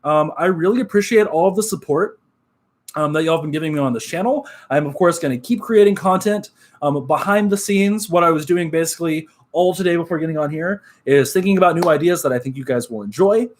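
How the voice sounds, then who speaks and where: A young man with a nasal voice, chatting casually on a live stream, upbeat and relaxed, full of fillers. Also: fairly high pitch, fairly quick. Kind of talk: casual live-stream chat reading comments.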